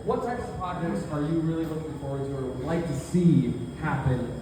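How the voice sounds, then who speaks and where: Man, live panel moderator, effusive, halting questions, distant mic, loud room noise. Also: a little high. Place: coffee bar event space, indoors. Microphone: distant from the speaker. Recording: roomy echoing event audio, distant mic, loud noise.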